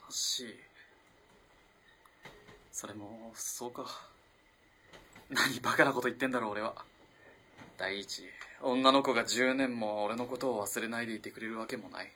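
An actor playing an earnest, lovestruck young student in an early twentieth-century Japanese radio play, halting and moving between hushed and impassioned delivery. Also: male voice, mid-range voice, steady tone, a little hiss or hum, natural voice. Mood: subdued, wistful. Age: young adult. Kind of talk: dramatic radio play acting.

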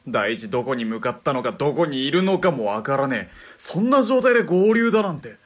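A man playing a blunt, cynical hired killer in a 1920s Japanese radio drama, talking in rough, casual masculine speech. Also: medium pitch, big pitch swings, fairly quick. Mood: gruff, exasperated.

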